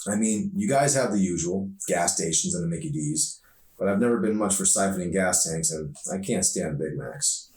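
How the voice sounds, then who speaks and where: A man's voice: deep voice, moderate pace, darkish tone, close to an everyday voice. A man voicing a chatty, opinionated, frustrated teenage girl in slangy, emphatic storybook dialogue. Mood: curious, teasing. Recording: roomy echo, distant microphone.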